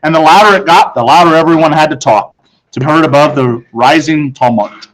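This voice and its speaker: A man with a fairly high voice narrates a children's book. His reading is expressive and dramatic, and he sets off phrases with deliberate pauses. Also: low-quality recording. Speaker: audiobook narrator.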